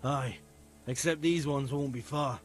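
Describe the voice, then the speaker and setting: A man's voice: mid-range voice, unhurried, faint music behind, close to an everyday voice. A man playing a hesitant, earnest medieval stablehand in video game dialogue, folksy and loyal. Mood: confiding, helpful. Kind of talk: conversational video game acting.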